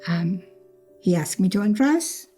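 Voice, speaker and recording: A woman's voice: mid-range voice, lively intonation, measured pace, warm tone, slightly rough, soft background music. An older woman born in Trinidad, telling her life story in a reflective way, repeating phrases and acting out what others said. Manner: reflective, repeats phrases, acts out quoted speech. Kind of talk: personal storytelling interview.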